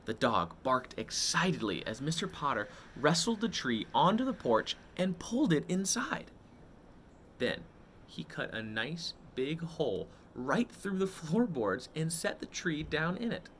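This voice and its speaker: Male children's read-aloud host. Expressive storybook delivery, very varied pitch, leisurely tempo. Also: a little slow, a little hiss or hum. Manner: greets and addresses young listeners directly.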